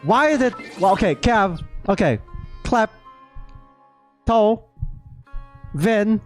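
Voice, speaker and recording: Higher-pitched man streaming a game. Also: animated, extremely slow, soft background music. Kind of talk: casual live reaction commentary, chatting with viewers.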